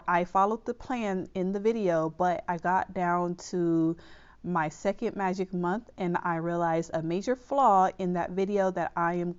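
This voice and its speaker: A woman's voice: on the low side, darkish tone, dull audio. A woman hosting a budgeting channel, a little under the weather and slightly nasal, explaining a plan in a chatty, reassuring way and often checking in with "okay?". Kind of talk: casual explainer to camera.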